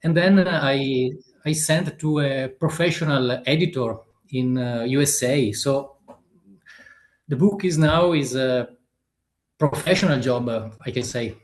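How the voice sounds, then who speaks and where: A man in his fifties with an Italian accent, an author answering interview questions haltingly and searching for words in a non-native language. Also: medium pitch, roomy sound. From Italy. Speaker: author guest.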